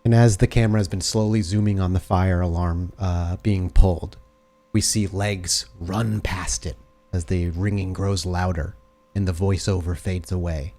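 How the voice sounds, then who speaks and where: A man acts as storyteller for a tabletop game. His voice is low and soft-edged, with a dark, relaxed tone. He describes scenes in a cinematic way. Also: moderate pace.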